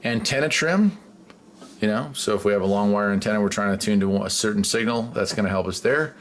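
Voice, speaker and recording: A man giving a casual hands-on demonstration as a hobbyist, unhurried and thinking aloud. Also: fairly low pitch. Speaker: radio hobbyist presenter. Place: indoors beside a shortwave receiver. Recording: clear background noise, radio static.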